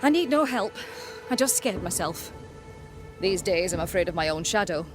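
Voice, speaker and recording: Jittery colonial woman doctor, played by an actress; fairly low voice, apologetic and candid, music behind. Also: animated, normal speed, natural voice. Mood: nervous, polite. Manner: flustered, candid, formal archaic phrasing. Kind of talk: dramatic game dialogue.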